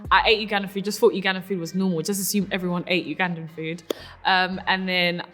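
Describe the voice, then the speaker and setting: London woman talking over clear music. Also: lowish voice, flat delivery, fast, fairly bright tone. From East London. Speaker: interviewee. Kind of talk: casual personal talk.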